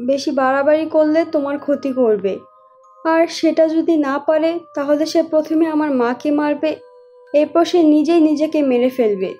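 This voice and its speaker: A woman's voice: high voice, warm tone, natural voice. A woman voicing a distraught young village woman in a cartoon melodrama, plaintive and pleading. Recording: dull lo-fi sound, music behind.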